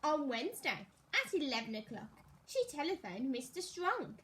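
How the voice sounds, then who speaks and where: A woman teacher reading a children's story aloud, expressively and deliberately, as if to young pupils. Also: high voice, very animated, fairly bright tone, rough recording.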